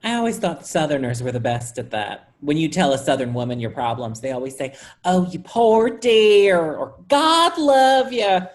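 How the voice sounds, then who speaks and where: A woman's voice: low-pitched, sing-song, normal speed, hardly any pauses. A woman in a staged play reading, playing an oversharing, oblivious, squeamish office worker who rambles matter-of-factly. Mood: deadpan.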